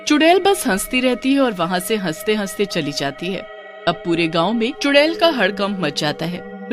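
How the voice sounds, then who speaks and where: Cartoon horror narrator, scripted storytelling, middle pitch, clear background music.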